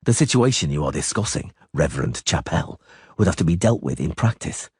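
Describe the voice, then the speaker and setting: A man's voice: very deep voice, very animated, fairly quick, darkish tone, slightly rough, no room sound, studio quality, full character voice. A man voicing a terse, cold male monster hunter, in an audiobook reading. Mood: grim, steady.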